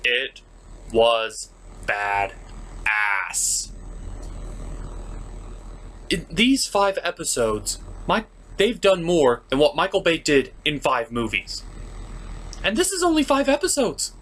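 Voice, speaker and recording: Animated man giving an exasperated comedic review. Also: a little high, slow, pauses often. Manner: exasperated, emphatic, swearing. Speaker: reviewer, host of the show. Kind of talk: scripted comedic review commentary with recap.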